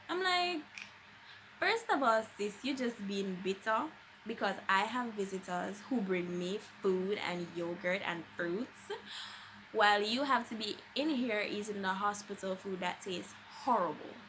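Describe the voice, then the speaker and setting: A young woman with a Jamaican accent talks in an informal storytime style. Her voice is bright and mid-pitched, and her delivery is expressive and emphatic. Some faint noise sits behind her voice. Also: low-quality recording. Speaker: vlogger. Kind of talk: casual storytime chat.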